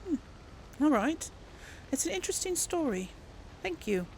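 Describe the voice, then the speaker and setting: A woman's voice: high voice, very animated, normal speed, darkish tone, slight background noise, rough recording. A woman hosting a casual role-play let's play, speaking in a hesitant, thoughtful way. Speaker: gaming video host and role-player.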